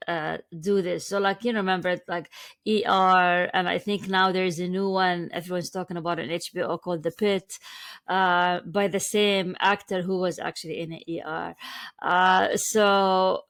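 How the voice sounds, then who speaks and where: A woman's voice: lowish voice, fairly even intonation, normal speed, fairly bright tone. A woman hosting a podcast interview, asking curious questions and giving short acknowledging replies. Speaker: podcast host. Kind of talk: casual interview.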